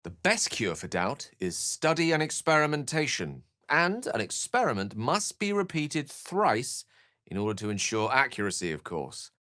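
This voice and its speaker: An actor playing an erudite, wry and courteous wizard in a video game, with eloquent, precise, theatrical phrasing. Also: male voice, medium pitch, huge pitch swings, normal speed, pushed and bright, dry recording, clean studio sound. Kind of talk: video game character acting.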